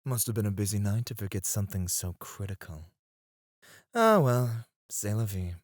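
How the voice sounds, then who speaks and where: Man playing a low-voiced, flirtatious suave escort. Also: no room sound, studio quality, natural voice. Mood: smooth, businesslike teasing. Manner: teasing, courteous, drawn-out phrasing with soft chuckles. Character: suave, flirtatious male club escort, smooth-mannered and teasing. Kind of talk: ASMR roleplay, addressed to one listener.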